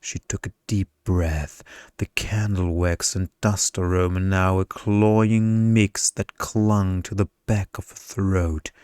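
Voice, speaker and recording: A man's voice: low voice, steady tone, slow pace, darkish tone, smooth, dry recording. A man narrating a romantic bedtime story in a scripted ASMR style, close to the microphone.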